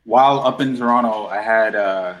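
A man's voice: on the low side, moderate pace. Guyana-born man chatting as a show guest. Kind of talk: casual chat.

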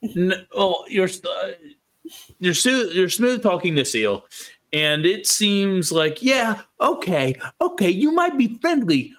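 A man runs a tabletop game in a casual, improvised style. His pitch moves around a lot while his pace stays slow. He feels his way through the narration, stopping and correcting himself. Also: big pitch swings, a bit bright. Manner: halting, self-correcting delivery. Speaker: game master.

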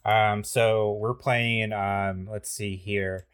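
A man's voice: fairly low pitch, flat delivery, drawn-out words, a bit bright, clean tone. A man hosting a comedy podcast, explaining a game in a rambling, playful way full of fillers. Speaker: podcast host. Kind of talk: casual comedic podcast chat.

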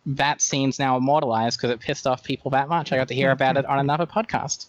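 A man of middle pitch chats as a podcast guest in a dry, close recording. The delivery is casual and anecdotal, with firmly held opinions. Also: steady tone, fast talker, dry recording, highs cut off.

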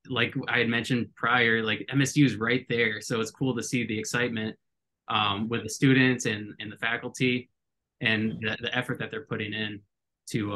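A man's voice: mid-range voice, sharp tone. A man hosting a podcast interview, friendly and enthusiastic, often repeating words as he goes. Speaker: podcast host. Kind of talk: casual interview.

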